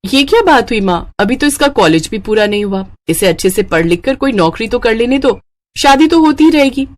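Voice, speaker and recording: A woman voicing a kind, dutiful young doctor in a cartoon story, speaking earnestly and gently. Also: fairly high pitch, expressive, brisk, natural voice. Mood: caring, reassuring. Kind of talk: Hindi cartoon moral story acting.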